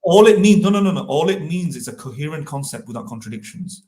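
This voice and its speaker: A man's voice: a little high, expressive, quick pace, mellow. A man co-hosting a stream, explaining philosophical points with frequent hesitant restarts. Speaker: co-host. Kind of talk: philosophical discussion. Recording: roomy echo.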